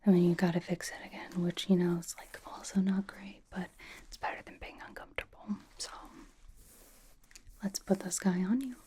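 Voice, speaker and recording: A woman's voice: fairly low pitch, steady tone, normal speed, dark tone, close to an everyday voice. A woman playing a blunt, doting young pop idol in a whispered ASMR roleplay, with a casual, dry, slangy delivery.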